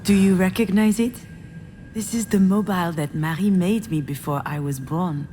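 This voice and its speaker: Low-voiced troubled woman, acted, over music. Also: normal speed, dark tone, lightly acted. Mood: reflective. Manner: confiding, reflective. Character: troubled, self-doubting adult woman, a failed screenwriter. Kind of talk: scripted video game voice acting, introspective first-person narration. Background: game music.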